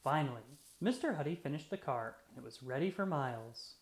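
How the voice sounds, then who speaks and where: A storyteller reading a children's picture book aloud with deliberate, expressive phrasing for young listeners. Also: mid-range voice. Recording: roomy, echoey sound.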